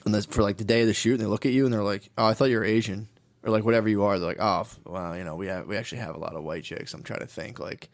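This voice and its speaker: A man's voice: fairly low pitch, fairly even intonation, very fast. A man chatting casually and crudely as a comedy podcast host, rambling through profane stories and acting out bits of dialogue. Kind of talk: casual, crude comic chat.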